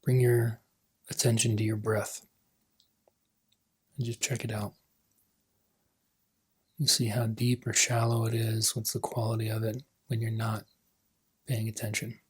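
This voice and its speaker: A man leading a guided meditation as a coach, speaking gently in unhurried phrases that trail off softly. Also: on the low side, monotone, husky.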